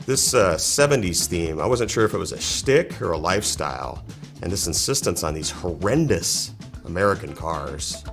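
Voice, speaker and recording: A man acting as a skeptical company executive in a mock ad testimonial, measured and wry, with music behind him. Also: sits low, expressive, creaky. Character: skeptical male company executive, middle-aged. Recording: music bed under the voice.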